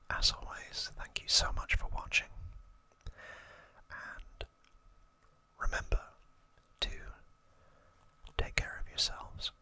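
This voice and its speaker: An ASMR host explaining trivia off the cuff in a hushed, breathy, unvoiced whisper. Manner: slow, hushed, unscripted explaining.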